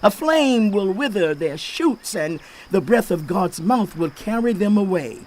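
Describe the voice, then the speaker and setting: An actor playing a stern aged sage who rebukes and accuses in a deliberate, declamatory dramatized scripture reading. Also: male voice, high voice, lively intonation, a little mellow. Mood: grave, ominous. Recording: faint outdoor insect ambience.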